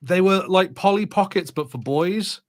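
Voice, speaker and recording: Man, fairly high voice, podcast co-host, casual chat, halting delivery.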